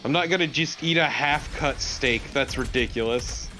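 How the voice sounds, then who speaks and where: A man's voice: mid-range voice, moderate pace, over music. A man bantering casually as a co-commentator over gameplay. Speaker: let's play co-commentator.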